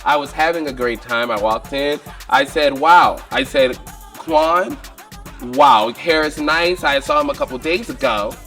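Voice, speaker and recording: A man's voice: mid-range voice, measured pace, sharp tone, faint music behind. A man, casually recounting events as a reality show cast member. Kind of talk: confessional recounting.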